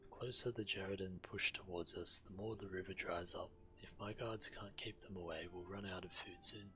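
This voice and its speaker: A man's voice: deep voice, steady tone, moderate pace, pushed and bright. A man voicing a suspicious, scornful walrus-folk guard from a fantasy game, curt and challenging. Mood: hostile, suspicious. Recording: clear background game noise.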